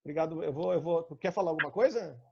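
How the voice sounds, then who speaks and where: A Brazilian man leads a live coaching session in a conversational, probing way. His voice is fairly high for a man, with a creaky, gravelly grain. The audio is dull and lo-fi, like an online call. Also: quick pace. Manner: explanatory, asking probing questions.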